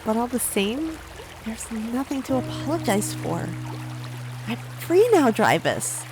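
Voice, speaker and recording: A woman's voice: on the high side, sing-song, unhurried, music in the background. A woman acting a brave, defiant young runaway turned gang member, spirited and determined, in a fantasy audio drama. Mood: grateful, earnest.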